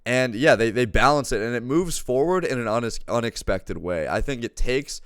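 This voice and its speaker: A man's voice: mid-range voice, animated, normal speed, a bit bright, clean tone, dry recording, studio quality. A man co-hosting a casual, enthusiastic review, speaking effusively with slangy wording and emphatic repetition. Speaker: co-host giving a movie review.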